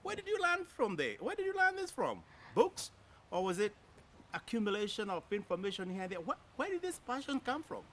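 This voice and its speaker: A man's voice: high voice, wildly swooping pitch, a little fast, fairly bright tone. A man from Kenya hosting an interview, inquisitive and effusive, repeating answers back to the guest. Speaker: interviewer, host. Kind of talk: interview questions.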